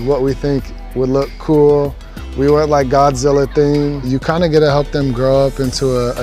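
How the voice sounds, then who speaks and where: A man talking casually and informally in halting phrases, as in an interview cut for a produced spot. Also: medium pitch, a little mellow.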